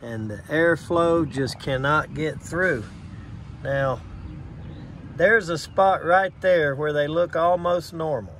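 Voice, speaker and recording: A man working as an HVAC technician, giving a plain, unhurried on-site explanation outdoors beside air conditioner units, recorded on a handheld phone camera. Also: a little high, a bit bright, a little hiss or hum. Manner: plain, unhurried, points things out step by step.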